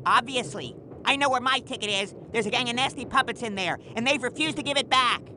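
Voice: mid-range voice, a little fast, background noise, natural voice. An actor playing a pushy know-it-all boy in a video game, with a bossy, pedantic and smug way of talking. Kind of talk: video game cutscene acting.

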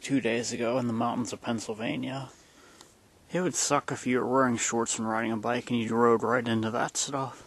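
A man's voice: medium pitch, a little hiss or hum. A man giving casual vlog commentary while filming on a handheld camera outdoors on a wooded trail. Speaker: vlogger. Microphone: handheld camera, held by the speaker.

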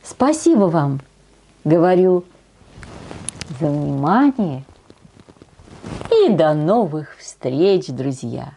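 An older woman telling a folk tale expressively, in an unhurried, folksy way with homely diminutives. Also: low voice, big pitch swings, creaky, dark tone, slight background noise. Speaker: storyteller on a channel. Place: small decorated room indoors.

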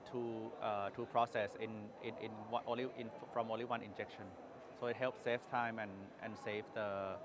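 A man's voice: lowish voice, background noise. A man in his thirties with a Thai accent, a company executive answering interview questions at an exhibition booth, hesitating often with fillers and restarts. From Thailand. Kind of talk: trade show interview. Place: exhibition hall booth, indoors.

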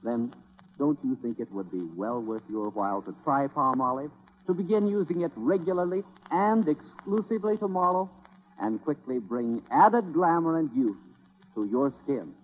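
A man's voice: medium pitch, moderate pace, husky. A man announcing on 1930s radio with theatrical advertising patter and a salesman's delivery. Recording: tinny 1930s radio broadcast recording.

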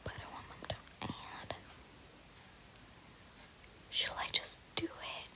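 Whispering young woman doing gaming ASMR. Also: slow pace. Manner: rambling, repeats words and phrases. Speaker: gaming video creator. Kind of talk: whispered ASMR gameplay commentary.

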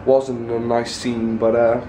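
Young male vlogger, stuffy and congested with a sore throat, mid-range pitch, rambling casual delivery, handheld camera indoors with faint room hum. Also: flat delivery, slow pace, warm tone. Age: young adult. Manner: rambling, talks in loose run-on sentences. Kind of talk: casual vlog chat. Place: small rooms in a house, indoors. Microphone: handheld camera at arm's length.

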